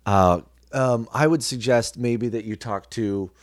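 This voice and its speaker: A man's voice: medium pitch, a little slow, no echo. A man chatting casually as an interview guest, often searching for his words and repeating them. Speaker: podcast guest, radio host.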